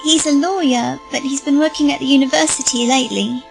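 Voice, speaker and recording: Audio drama child role: meek, plaintive little girl, high-pitched, hesitant, over a clear music bed. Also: steady tone, brisk. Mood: anxious, meek. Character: timid, earnest young girl, frightened and unheeded.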